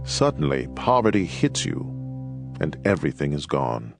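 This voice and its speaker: A man's voice: very deep voice, huge pitch swings, moderate pace, heavily acted. An actor playing a fatherly teacher counseling his children in a dramatized, instructive scripture reading. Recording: music playing behind the voice. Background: instrumental music.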